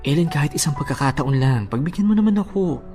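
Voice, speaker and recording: A man's voice: fairly high pitch, animated, fast, darkish tone, soft background music. An actor playing a devoted, persistent male suitor in a Filipino radio romance drama, earnest and coaxing, with a Filipino accent. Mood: pleading, insistent.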